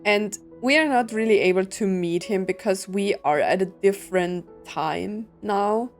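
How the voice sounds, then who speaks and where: A woman's voice: mid-range voice, unhurried, faint music behind. A woman streamer giving casual playthrough commentary, reading game text aloud and musing in short reactions.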